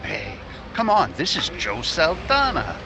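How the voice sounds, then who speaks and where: An actor playing a friendly, concerned newspaper reporter in a 1950s-style radio drama. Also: male voice, a little high, wildly swooping pitch, measured pace, creaky, dull audio, heavily acted. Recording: noisy, traffic sounds behind.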